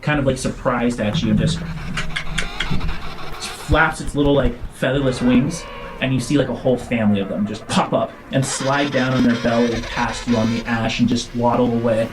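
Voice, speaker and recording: A man improvising narration as game master, descriptive and halting, in short broken phrases. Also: mid-range voice, fairly even intonation, over music, poor audio.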